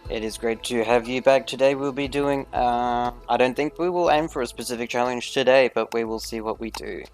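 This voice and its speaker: Man calmly narrating game footage. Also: medium pitch, brisk, faint music behind. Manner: careful, formal phrasing with few contractions. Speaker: gaming video host. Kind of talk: gameplay commentary.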